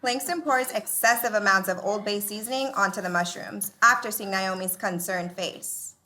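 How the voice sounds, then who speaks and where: Bright-voiced woman reading stage directions. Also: mid-range voice, moderate pace. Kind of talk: scripted narration of a sitcom table read.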